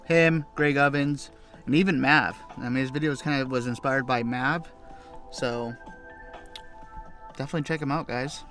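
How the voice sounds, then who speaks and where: A young man with a North American accent talks to his camera in an easygoing, colloquial way. His voice sits at a medium pitch, with the casual delivery of an outdoor vlogger recording inside a truck. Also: fairly even intonation, normal speed, long pauses, fairly bright tone, faint music behind. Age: young adult. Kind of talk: casual vlog chat to camera. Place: inside a parked truck at night.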